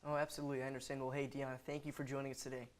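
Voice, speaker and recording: A man's voice: medium pitch, little pitch movement, racing. A man anchoring a student news show, reading scripted lines and asking loose interview questions padded with "you know".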